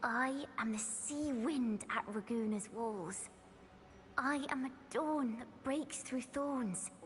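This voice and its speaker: A woman playing an aloof, solemn young poet in a game, speaking softly in measured, lyrical phrases. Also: high voice. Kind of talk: dramatic game voice acting, poetry recitation.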